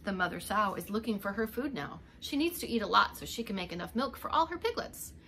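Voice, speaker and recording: A middle-aged woman reads a children's story in a bright, very lively voice that rises and dips. She speaks as a preschool teacher to little listeners, adding short asides. There is some noise in the room behind her. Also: mid-range voice, fairly quick. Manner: animated, expressive reading for young children. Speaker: preschool teacher reading aloud. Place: indoors, home living room.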